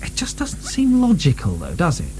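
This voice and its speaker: A man's voice: on the high side, huge pitch swings, normal speed, soft background music. An actor playing a coolly rational asylum deputy in a radio drama, a man who doubts politely and reasons with deference. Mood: sceptical. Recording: soft recording with faint hum.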